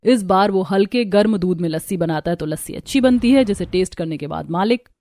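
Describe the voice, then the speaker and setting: A woman's voice: on the low side, fairly quick, warm tone. A woman reading a scripted story as its narrator.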